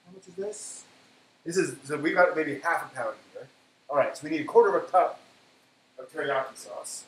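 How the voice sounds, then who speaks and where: Casual male cooking streamer, mid-pitched, halting and talking to himself, recorded in an echoey kitchen. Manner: halting, self-talking, trailing off. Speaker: host of a cooking live stream. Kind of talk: casual cooking chat, thinking aloud. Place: indoor kitchen. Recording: echoey room, background noise.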